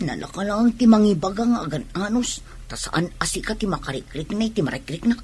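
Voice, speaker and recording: A woman's voice: medium pitch, lively intonation, brisk, darkish tone, natural voice. A woman playing a sullen, weary young pregnant wife in an Ilocano radio drama, resentful and bitter. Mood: bitter, complaining.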